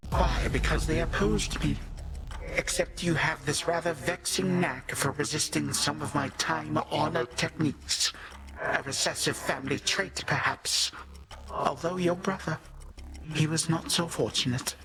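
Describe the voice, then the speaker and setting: An actor playing a pompous, vengeful Titan of time in a video game, with a haughty, ornate and deliberate delivery. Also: male voice, on the high side, fairly even intonation, vocal fry. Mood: smug, menacing. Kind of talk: video game villain acting.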